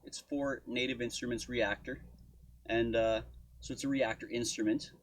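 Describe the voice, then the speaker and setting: A man giving an informal software walkthrough as a tutorial host, thinking aloud as he goes. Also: medium pitch, steady tone, normal speed. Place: indoor desk at home studio.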